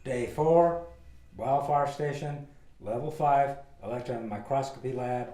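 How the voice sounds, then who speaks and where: An actor playing a formal announcer who logs time and location in a scripted radio drama. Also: male voice, medium pitch, unhurried, dark tone, soft background music, natural voice. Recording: roomy echo.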